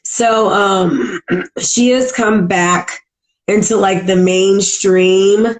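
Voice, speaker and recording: A woman with a heavy, raspy voice and a congested throat, hosting a casual live podcast chat, chatty and full of "like" and "um", often clearing her throat. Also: medium pitch, steady tone, very slow, rough recording. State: congested from allergies. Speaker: podcast host. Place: at home in Virginia.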